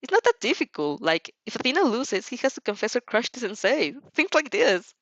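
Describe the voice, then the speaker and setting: A woman puts on a teasing teenage girl for a story reading. She is outspoken and huffy, pitched a bit high with lively swings. The recording is slightly dull. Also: brisk, no room sound.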